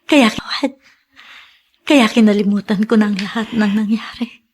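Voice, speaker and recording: A woman's voice: mid-range voice, steady tone, a little slow, darkish tone, slightly rough. An actress playing a tender, forgiving mother who longs for her child, earnest and emotional in melodrama style. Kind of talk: Filipino melodrama acting.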